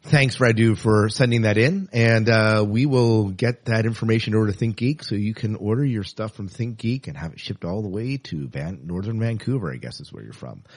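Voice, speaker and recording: A man's voice: on the low side, normal speed, few pauses, no room sound. A man hosting a podcast in a casual, chatty and enthusiastic way. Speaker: podcast host.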